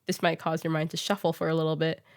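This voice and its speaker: A woman's voice: deep voice, flat delivery, quick pace, no room sound, clean studio sound. A woman hosting a casual interview in a conversational style. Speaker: interviewer, show host.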